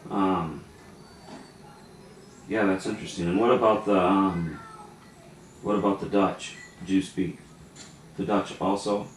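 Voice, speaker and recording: A man's voice: deep voice, fairly even intonation, a little slow, pauses often. A man interviewing a relative, encouraging and chatty, with many short acknowledgements. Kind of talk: family interview. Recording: echoey room, mic at a distance, faint noise.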